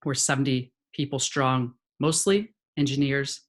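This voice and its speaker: A man's voice: mid-range voice, fairly even intonation, measured pace. A man, head of sales, presenting a webinar in an upbeat, polished way over a video call.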